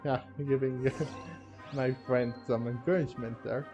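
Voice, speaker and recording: A man's voice: mid-range voice, little pitch movement, unhurried, lo-fi sound. A man giving casual, hesitant gameplay commentary as he plays. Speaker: gamer playing and commenting. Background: faint game music.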